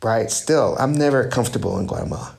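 A man's voice: medium pitch, lively intonation, creaky, darkish tone. Thoughtful male author in conversation. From United States. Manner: thoughtful, frequent "you know" and "right?", halting restarts. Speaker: author guest. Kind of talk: reflective interview answers.

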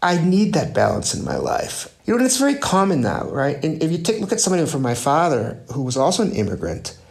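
A man, an author, answering interview questions thoughtfully, with frequent "you know" and "right?" and halting restarts. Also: a little high, wildly swooping pitch, creaky. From United States. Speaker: author guest.

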